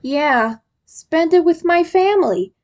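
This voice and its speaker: A woman's voice: very high-pitched, expressive, takes it slowly, a little mellow, lo-fi sound, voice pushed up, exaggerated voice. A woman voicing a kind, shy young friend with a crush in a read-aloud story. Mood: friendly, reassuring. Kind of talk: story character dialogue.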